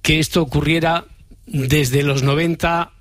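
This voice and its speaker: Guy hosting a radio quiz, laid back, jokey, voice on the higher side. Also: unhurried. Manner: relaxed, teasing, laughs easily, thinks aloud with restarts. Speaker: radio host running a riddle game with callers. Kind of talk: radio phone-in chat.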